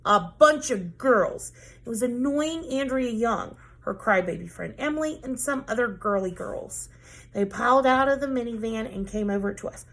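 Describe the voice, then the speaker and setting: Give me a woman teacher reading a children's chapter book aloud. Her voice is a little high with a gravelly texture, and she tells the story in an expressive, lively way. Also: moderate pace.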